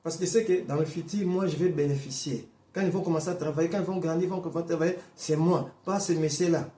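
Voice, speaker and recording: A man preaching a sermon in as a Portuguese first-language speaker, emphatic, repeating and restarting phrases, swinging between slow stressed words and quick bursts. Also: fairly high pitch, steady tone, dark tone, reverberant. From Portuguese-speaking Africa.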